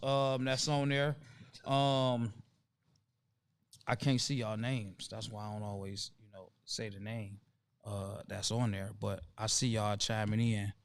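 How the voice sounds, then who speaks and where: Laid-back man hosting a live chat. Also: mid-range voice. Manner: laid-back, calls out viewers by name, drawn-out emphasis. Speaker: livestream host. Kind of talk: casual livestream chat.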